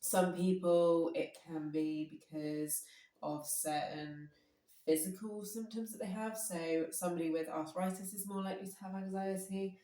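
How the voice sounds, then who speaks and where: A low-pitched woman, throaty from a cold, talking through a topic in a relaxed podcast chat. She fills pauses with ums and laughs easily. The sound is roomy and echoey, with her voice set back from the mic. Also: recorded from a distance. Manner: chatty, explaining with frequent ums and laughs. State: hoarse from a cold. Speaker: podcast co-host. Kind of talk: casual chat.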